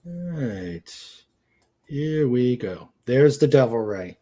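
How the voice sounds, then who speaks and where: Halting, slow-talking man on a livestream. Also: mid-range voice, sing-song, mellow. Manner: halting, searching for words. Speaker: co-host of a livestream show. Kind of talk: casual livestream chat.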